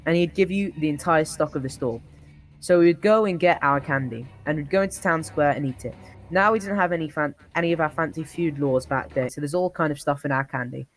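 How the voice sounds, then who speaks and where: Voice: medium pitch, fairly quick. Storyteller stumbling through a comic tall tale. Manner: often breaks into laughter, stumbles over words. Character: old-timer reminiscing about an absurdly harsh childhood.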